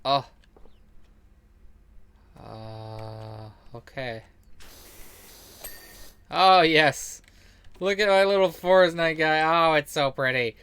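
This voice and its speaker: A man's voice: fairly high pitch, animated, drawn-out words, long pauses, a bit bright. A man chatting casually as he streams a game, rambling and joking with plenty of swearing. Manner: crude, jokey, rambling, sweary; acts out quoted remarks. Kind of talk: casual gaming commentary and chat. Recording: clear background noise.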